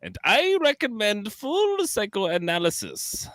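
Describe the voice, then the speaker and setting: A man playing a pompous, excitable psychoanalyst in a radio play, lecturing theatrically. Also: sits high, takes it slowly, no room sound, studio quality, full character voice. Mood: pompous, accusing. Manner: theatrical, lecturing, erratic swoops. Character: pompous, excitable old-school male psychoanalyst, a holodeck Freud. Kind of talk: radio play live read.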